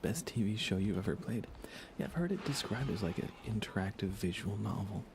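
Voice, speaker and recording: A man live streaming a game in a casual ASMR style, chatting softly with viewers in a hushed voice over background noise. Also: lowish voice, animated, a little fast, vocal fry.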